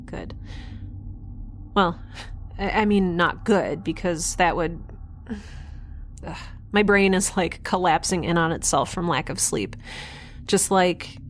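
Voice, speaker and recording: Woman acting as young female space scientist, frazzled, wry, awkward, halting and self-correcting, slightly low pitch, audio drama, noisy ambience behind. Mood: frazzled, apologetic. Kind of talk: audio drama, conversational log-diary monologue and dialogue.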